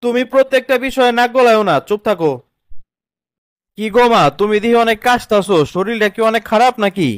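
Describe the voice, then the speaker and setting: A man acts out an exasperated young cartoon husband with a Bangladeshi accent. His voice is high and quick, always protesting his lot, recorded close and dry. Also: clean tone, dry recording. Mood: concerned. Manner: protesting, complaining. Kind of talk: comedy cartoon dialogue.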